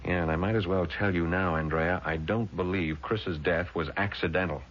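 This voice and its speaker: A man's voice: deep voice, fairly even intonation, normal speed, fairly bright tone. An actor playing a confident, shrewd young male attorney in a 1970s radio drama, wry and insistent in manner. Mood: blunt, insistent. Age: young adult. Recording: dull vintage radio recording with music.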